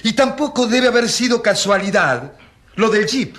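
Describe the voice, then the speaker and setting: An actor playing an ironic, defiant rural priest, eloquent and emphatic, in a radio drama. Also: male voice, high-pitched, big pitch swings, moderate pace, fairly bright tone. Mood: ironic, accusing.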